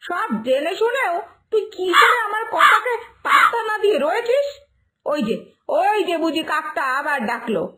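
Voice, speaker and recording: Woman voicing a cartoon village mother, very high shrill voice, animated swoops, nagging, exasperated, wailing complaints. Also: moderate pace, full character voice. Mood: scolding, pleading. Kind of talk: cartoon fairytale voice acting.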